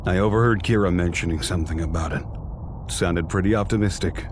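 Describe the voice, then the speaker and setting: A man playing an easygoing, gruff comrade in a video game, speaking in an informal, laconic way. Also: low-pitched, brisk, noisy background, natural voice. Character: gruff, casual male comrade, recovering and easygoing.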